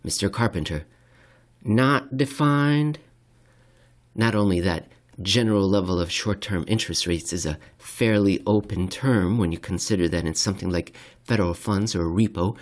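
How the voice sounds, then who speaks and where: A man reads a scripted financial essay aloud in a measured, theatrical way, with rhetorical pauses. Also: deep voice, darkish tone. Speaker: reader of a financial commentary podcast. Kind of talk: dramatic scripted essay reading.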